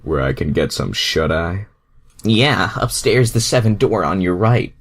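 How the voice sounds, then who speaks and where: Brief: male amateur story reader, very low slow voice, crude asides and swearing, mocking commentary. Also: very animated. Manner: crude, swearing, self-deprecating, breaks off to comment. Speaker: fan fiction reader.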